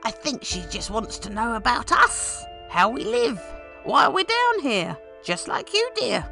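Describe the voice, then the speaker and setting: A woman's voice: fairly high pitch, wildly swooping pitch, unhurried, vocal fry, over music, heavily acted. A woman voicing a dotty, kindly old grandmotherly storyteller in a comic dub, dreamy and confiding. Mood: gentle, assured. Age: older.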